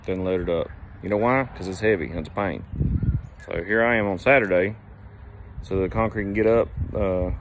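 A man talks into a phone held at arm's length, outdoors by a brick building. His voice sits a bit low, and his delivery is relaxed and rambling, full of fillers. Faint outdoor sounds and the odd bird call sit behind him. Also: slight background noise. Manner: easygoing, rambling talk with fillers. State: sore back from heavy lifting. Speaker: plumbing company owner. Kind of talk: casual selfie vlog.

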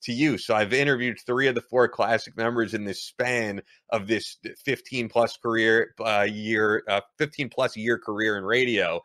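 A man's voice: fairly low pitch, steady tone, normal speed, few pauses, a bit bright, no echo. A man in his thirties hosting a podcast interview, wrapping up by plugging links and release dates. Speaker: podcast host.

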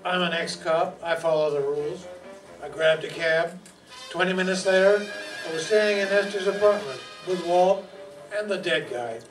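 A man's voice: high voice, moderate pace, music in the background, close to an everyday voice. An older man playing a wisecracking, breezy, tough private detective in old-time radio style. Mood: narrating. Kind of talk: 1940s radio acting, with narration. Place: meeting room stage before an audience. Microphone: stand microphone, filmed from far back. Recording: distant room mic, echoey, noisy.

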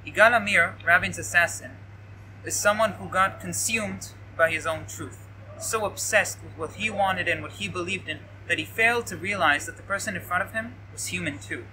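A teenage male high school student giving a prepared personal reflection speech, recorded outdoors on a camera a few steps away. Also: normal speed, poor audio. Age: teens. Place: outdoors by a leafy hedge. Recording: bright outdoor recording with faint noise.

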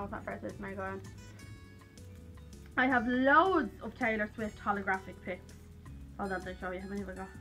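A woman's voice: medium pitch, fairly bright tone. A young woman with an Irish accent chatting casually to camera in a rambling, self-deprecating way. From Ireland. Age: young adult. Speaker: vlogger. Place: small cluttered room at home, indoors.

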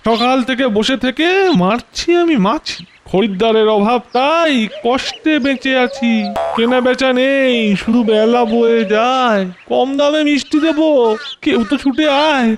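Woman voicing a high-pitched, lamenting sweet seller. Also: unhurried, a little hiss or hum, close to an everyday voice. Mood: gloomy. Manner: rhyming, singsong complaining. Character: gloomy, lamenting sweet-shop keeper. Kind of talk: cartoon voice acting.

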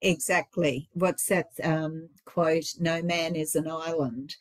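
A woman's voice: low voice, steady tone, slow pace, dry recording. A woman in her sixties hosting a podcast over a video call from home, her voice a bit scratchy and tired, chatting casually with many fillers. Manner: warm encouraging wrap-up, many fillers. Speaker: podcast host. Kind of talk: casual interview chat. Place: home room indoors. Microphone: video call webcam.

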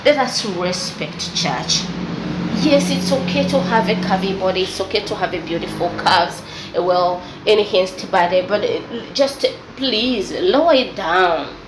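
A woman's voice: medium pitch, unhurried. A young adult woman with an East African English accent, giving an exasperated, preachy opinion commentary. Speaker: vlogger. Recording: echoey room with faint noise.

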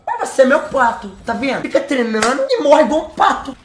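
A young man with a Brazilian Portuguese accent streams a game from his bedroom through a headset. His voice leaps up and down as he jokes and shouts boastful mock English. The room rings with echo under a faint hiss. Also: extremely high pitch, sing-song, fairly quick. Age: young adult. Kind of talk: live gameplay commentary. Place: bedroom with gaming chair.

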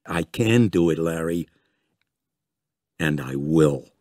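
Low, blunt small-town police chief acted by a man. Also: lively intonation, slow, darkish tone, a little hiss or hum, full character voice. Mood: determined. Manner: firm, blunt, plain-spoken.

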